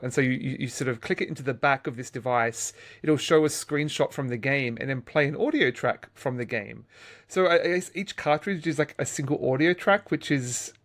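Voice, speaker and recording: Man, middle-aged, medium pitch, casual podcast chat, hesitant phrasing, repeated words. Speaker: podcast host.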